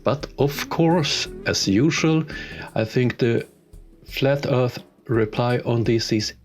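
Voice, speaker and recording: A man's voice: mid-range voice, slow, soft background music. A man with a Swedish accent who speaks English as a second language, giving dry, sarcastic scripted commentary. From Sweden. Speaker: YouTuber debunking videos.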